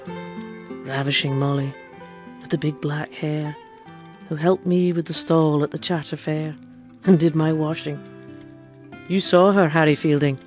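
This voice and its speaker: Casting: scornful Georgian woman who passed as a man, sharp-tongued, theatrical radio acting, middling pitch. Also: steady tone, measured pace, pauses often, soft background music. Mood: bitter, wistful. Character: defiant, bitter, foul-mouthed woman who lived as a man. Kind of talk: period radio drama acting.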